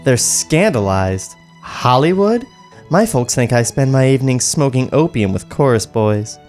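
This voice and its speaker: A man's voice: mid-range voice, animated, measured pace, darkish tone, soft background music, lightly acted. A man at a script table read voicing a wry, teasing young female costume worker.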